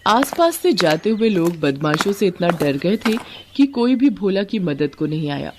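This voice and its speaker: A woman's voice: lowish voice, very animated, moderate pace, background noise. A woman narrating a scripted moral story as a storyteller.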